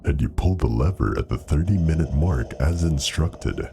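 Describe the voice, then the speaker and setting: A man's voice: rumbling low, animated, normal speed, dark tone. A man putting on a smug, sinister professor.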